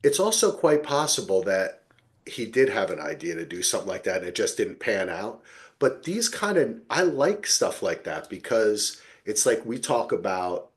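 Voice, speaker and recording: A man with an American accent hosting a casual TV discussion, sweary and quick to act out imagined dialogue. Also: lowish voice, very animated. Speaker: podcast host. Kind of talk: casual chat about television.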